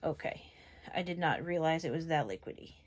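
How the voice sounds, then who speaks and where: A woman's voice: sits low, expressive, fairly quick. A young woman from New York with a general northeastern American accent, chatting casually and rambling as she tests makeup on camera. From New York, USA. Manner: chatty, rambling, lots of fillers. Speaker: beauty vlogger. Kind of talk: casual makeup tutorial chat.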